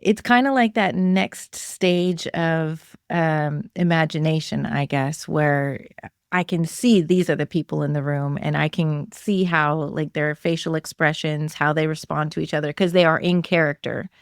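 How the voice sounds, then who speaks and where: Woman podcast host, lowish voice, chatty, thinking out loud, really dry close sound. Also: steady tone, a little slow, no room sound. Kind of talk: casual interview chat.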